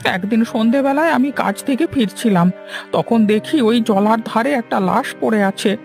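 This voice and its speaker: A woman voicing a frightened, cowardly village man in a cartoon, stammering and halting in panic. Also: a little high, creaky, a little mellow, faint music behind. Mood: nervous. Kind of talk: Bengali cartoon voice acting.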